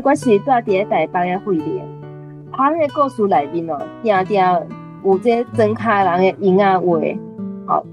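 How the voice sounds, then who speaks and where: A woman's voice: medium pitch, a little mellow. A woman with a Taiwanese accent gives prepared personal commentary as a member of a reading group.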